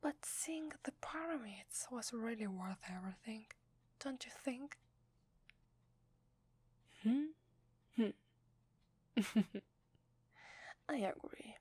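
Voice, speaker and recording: A woman with an Italian accent playing a tender, secretive werewolf wife in an ASMR roleplay, in a soft, hesitant whisper. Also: on the high side, animated, extremely slow, long pauses, slightly rough, no echo, heavily acted. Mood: tender, excited.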